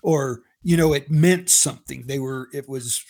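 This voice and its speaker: A man's voice: mid-range voice, moderate pace, creaky, a little mellow. A man co-hosting a casual news chat, mixing earnest points with jokes. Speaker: co-host.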